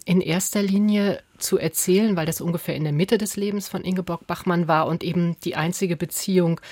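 A woman's voice: on the low side, a little mellow, no echo. A woman hosting an interview, putting prepared questions in a conversational style. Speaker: interviewer, host.

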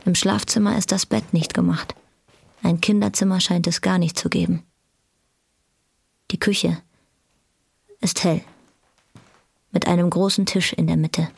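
Slow, creaky low-voiced woman narrator. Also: fairly even intonation. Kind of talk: scripted radio drama narration.

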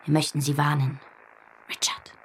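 A woman's voice: sits low, monotone, normal speed, noisy background, lightly acted. A woman playing a naive, earnest, curious girl in a German radio play. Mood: earnest, insistent.

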